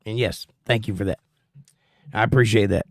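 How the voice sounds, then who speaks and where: A middle-aged man with a softened Georgia accent hosts a casual livestream, reading viewer comments aloud and answering them in a relaxed, conversational way. His voice sounds strained. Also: on the low side, moderate pace, no echo, studio quality. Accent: softened Southern US (Georgia). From Georgia, USA. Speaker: livestream host reading chat.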